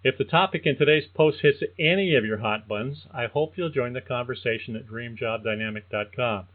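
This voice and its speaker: An older man talks through a scripted career-advice podcast at a measured, earnest pace. His voice sits in a middle pitch. It comes through thin and tinny, like a phone line. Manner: measured, earnest, reads like prepared narration. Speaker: podcast host and career coach.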